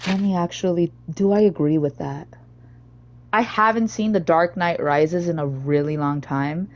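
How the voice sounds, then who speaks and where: A young woman streamer with a naturally low, slightly hoarse voice, chatting casually and rambling between topics. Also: darkish tone. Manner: rambling, chatty, easily sidetracked. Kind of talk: casual gaming stream chat.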